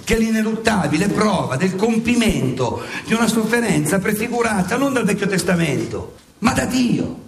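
An actor playing a relentless, sardonic prosecutor in a satirical mock trial, declaiming insistently on stage. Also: male voice, high voice, very animated, moderate pace, creaky, echoey room, distant mic, a little hiss or hum, exaggerated voice. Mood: insistent, indignant. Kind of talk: theatrical courtroom monologue in radio theatre.

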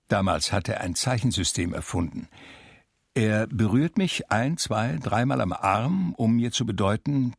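A low-voiced, gravelly ageing recluse tells his own story for a radio play. He is measured and reflective, with melancholy and dry irony, recorded close in a very dry studio. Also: no echo, heavily acted. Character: Homer, melancholy ageing blind recluse recalling his life. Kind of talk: literary first-person radio drama narration.

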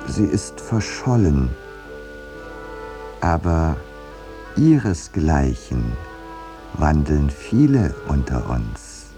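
An actor playing an urbane, dryly ironic painter in a radio play, polite, hesitant and deadpan. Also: male voice, extremely low pitch, extremely slow, pauses often, music in the background, exaggerated voice. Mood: wry narrating. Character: urbane, dryly ironic male painter telling his tale. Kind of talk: 1950s German radio play acting and narration.

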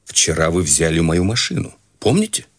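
An actor playing an earnest, worried priest in a radio play, mild-mannered, hesitant and admonishing. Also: male voice, sits low, big pitch swings, moderate pace, breathy edge, exaggerated voice.

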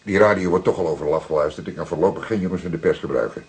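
An actor playing an older, paternal police detective with a Dutch accent, speaking in a deliberate, emphatic way with a husky voice. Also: male voice, low-pitched, a little mellow, heavily acted. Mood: brisk, commanding. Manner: deliberate, emphatic, repeating words for effect. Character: seasoned male police detective, paternal, methodical, secretive. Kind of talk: dramatic detective dialogue acting.

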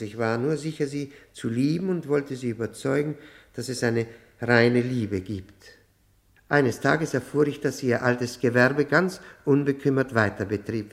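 A man's voice: medium pitch, lightly acted. Man acting a troubled, wry, educated convict. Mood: wry, confessional. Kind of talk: German radio play acting.

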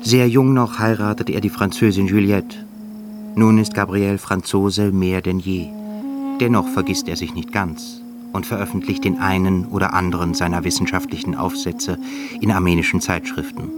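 A man with a very low voice narrates a radio drama. He reads scripted literary prose. Music plays loudly behind him. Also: fairly even intonation, normal speed. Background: loud music.

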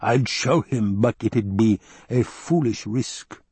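A man voicing an obese, sinister male baron with a rumbling basso voice, slow and taunting, in an audiobook. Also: darkish tone, breathy edge, no room sound, full character voice. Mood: gloating. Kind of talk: audiobook character voice.

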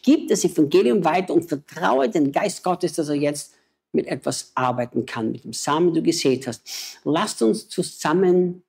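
A man's voice: fairly high pitch, lively intonation, moderate pace, gravelly, darkish tone, breathy edge. A man, a missionary preacher and native German speaker, talking with emotional, urgent exhortation in a conversation.

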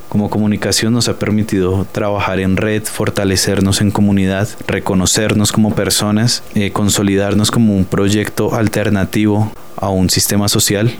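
A man's voice: on the low side, a little hiss or hum. A Colombian man, a theatre director, speaking spontaneously and earnestly in an interview, often adding short tag questions.

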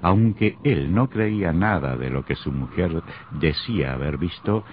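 The grave narrator of an old radio horror serial. His voice is exceptionally deep and full of menace. The recording is muffled and clearly noisy. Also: animated, a little slow. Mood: grave, suspenseful.

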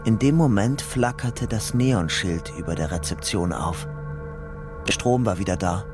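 An actor playing a weary young ex-convict on the run in a German crime radio drama, haunted but stubbornly hopeful, in a subdued, confessional way. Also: male voice, fairly low pitch, expressive, unhurried. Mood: subdued, bleak. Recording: music bed under the voice.

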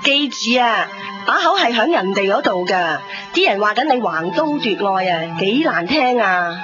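A woman's voice: medium pitch, animated, normal speed, natural voice. An actress playing a stern, protective middle-aged mother in a radio drama, reproachful and questioning, with a Hong Kong Cantonese accent. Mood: scolding. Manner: reproachful, firing rhetorical questions. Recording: dull 1990s radio recording under music.

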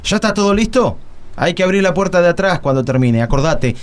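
Actor as a high, animated Argentine male staffer. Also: a little fast, a little hiss or hum. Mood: brisk. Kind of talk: radio drama acting.

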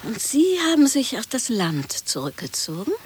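A woman's voice: a little high, very animated, normal speed, over loud music. An actress playing a genteel, politely inquisitive woman with a mannered way of talking, in an absurd comic radio play. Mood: polite curiosity. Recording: hissy radio play recording.

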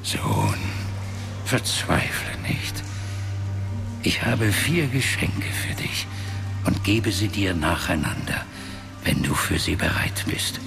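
Casting: ancient wizard father, grave fantasy radio-play figure, very low breathy voice, unhurried, over prominent music. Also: exaggerated voice. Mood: solemn, urging. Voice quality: gravelly, breathy half-whispering voice. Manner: grave, measured storytelling. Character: ancient solemn father, powerful wizard of a magical race. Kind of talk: fantasy radio drama acting. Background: background music.

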